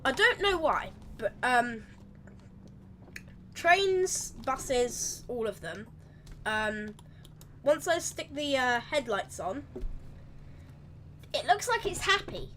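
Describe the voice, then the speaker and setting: A woman with a slightly croaky voice, rambling chattily through live gameplay commentary and correcting herself as she goes. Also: fairly high pitch, sing-song, slow, pauses often, a bit bright, a little hiss or hum. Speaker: game streamer.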